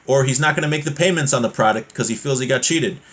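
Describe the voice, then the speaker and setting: A man's voice: medium pitch, quick pace, a bit bright. A man teaching a religious class informally, reading from a text and explaining it conversationally with everyday examples.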